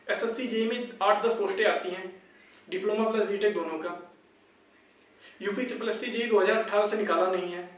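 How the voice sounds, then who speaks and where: High-pitched young man in a very echoey room. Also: steady tone, a little fast, long pauses. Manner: insistent, repetitive, addresses viewers as brothers. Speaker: YouTube exam-update presenter. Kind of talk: informal advice and rallying talk to viewers. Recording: very echoey room, distant microphone.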